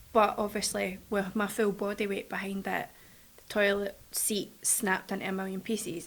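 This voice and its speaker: A woman in a relaxed podcast conversation, talking in a middle-pitched voice. She chats easily, backs up the host, and tells her own anecdotes. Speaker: podcast guest. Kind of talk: casual chat.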